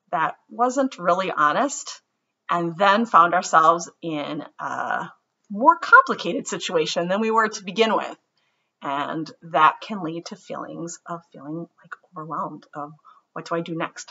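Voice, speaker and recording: Gentle, husky woman reading aloud. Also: fairly low pitch. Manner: gentle and reflective with fillers. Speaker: read-aloud presenter. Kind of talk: children's book read-aloud. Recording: slightly muffled recording.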